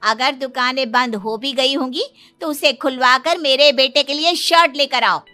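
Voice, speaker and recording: A woman voicing a bossy older mother-in-law with a soft heart in a moral story cartoon. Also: high-pitched, moderate pace, soft background music. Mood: stern, commanding.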